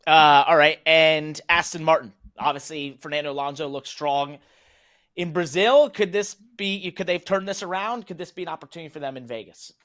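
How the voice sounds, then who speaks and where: A man's voice: on the high side, a little fast, fairly bright tone. A man hosting a casual live motorsport interview with informal, conversational questions. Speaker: host of a motorsport show.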